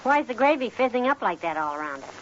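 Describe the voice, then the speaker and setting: Sensible, high-voiced American radio wife. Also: female voice, very animated, quick pace. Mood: exasperated. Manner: dry, chiding, motherly. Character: sensible, long-suffering, affectionately scolding American wife. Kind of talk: 1950s radio comedy acting. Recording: dull, noisy 1950s radio recording.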